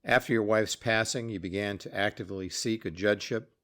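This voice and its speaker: A man interviewing, asking short measured questions. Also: fairly low pitch, steady tone, a bit bright.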